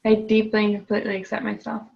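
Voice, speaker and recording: A woman's voice: medium pitch, completely flat delivery, quick pace, gravelly, dull audio. A woman client repeating tapping phrases after her coach and hesitantly describing her nervousness. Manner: hesitant, searches for words.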